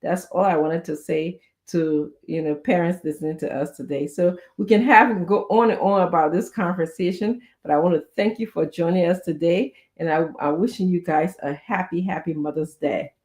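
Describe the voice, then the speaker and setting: A woman's voice: on the low side, fairly even intonation, normal speed, few pauses, a little mellow. A middle-aged woman with a Liberian accent, giving earnest, conversational advice in an emphatic way with short, repeated exhortations. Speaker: guest speaker giving parenting advice.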